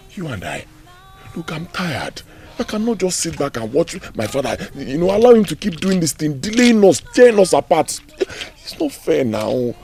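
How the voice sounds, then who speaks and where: An earnest young prince pleads. His pitch leaps about wildly as he goes from near-whispers to pushy, loud appeals. Nothing about his voice sounds unusual for a man. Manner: pleading, swings between hush and force.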